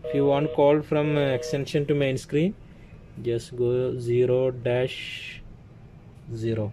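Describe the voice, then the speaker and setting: Guy doing a tech walkthrough at a workbench, short plain steps, medium pitch, noisy phone recording. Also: flat delivery, slow. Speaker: tech tutorial presenter. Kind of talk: hands-on step-by-step demonstration. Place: indoors at an equipment workbench. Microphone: handheld phone camera mic. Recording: clearly noisy room ambience on handheld recording.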